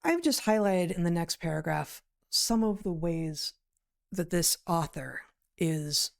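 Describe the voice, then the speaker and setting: A woman giving deliberate, articulate conversational commentary as a podcast co-host, pausing mid-sentence. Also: fairly low pitch, animated, vocal fry. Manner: deliberate, articulate, hesitant pauses mid-sentence, emphatic word choices. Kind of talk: conversational commentary on news, reading out quotes.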